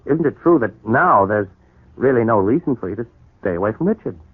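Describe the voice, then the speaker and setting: An actor playing a troubled, guilt-ridden husband in a 1940s radio soap opera, brooding, deliberate and tender. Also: male voice, medium pitch, lively intonation, slightly rough, no echo. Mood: earnest, pressing. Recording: muffled, narrow 1940s radio broadcast recording.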